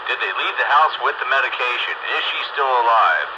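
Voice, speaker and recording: A man with a halting, plain way of talking, chatting casually over amateur radio. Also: medium pitch, big pitch swings. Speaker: amateur radio operator on a group net. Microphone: two-way radio microphone. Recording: muffled, noisy amateur radio transmission.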